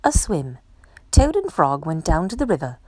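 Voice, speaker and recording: Female children's storybook reader, very animated pitch, expressive delivery, dry sound. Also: normal speed, dry recording. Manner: animated, acts out character dialogue. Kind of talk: expressive children's read-aloud.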